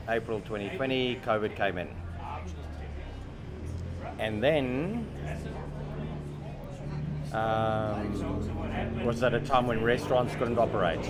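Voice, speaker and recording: Low-voiced man recounting in an interview. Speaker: shop and restaurant owner. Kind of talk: storytelling in an interview.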